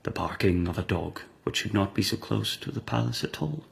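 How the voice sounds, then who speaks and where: An actor playing a suave, sly retired emperor turned monk, courteous, deliberate and insinuating in a radio drama. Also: male voice, fairly low pitch, animated, vocal fry, husky, exaggerated voice. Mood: sly, flattering.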